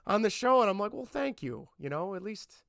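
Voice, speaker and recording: A man in his thirties hosting a casual podcast, hoarse from laryngitis, chatty and self-deprecating. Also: sits high, sing-song, fairly quick, fairly bright tone, no echo, clean studio sound. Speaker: podcast host.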